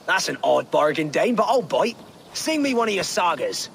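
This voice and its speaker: A fussy merchant for a video game, grumbling and easily alarmed. The voice is very high for a male and highly animated. There is faint ambient noise behind it. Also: normal speed, vocal fry, exaggerated voice. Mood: grudging, businesslike.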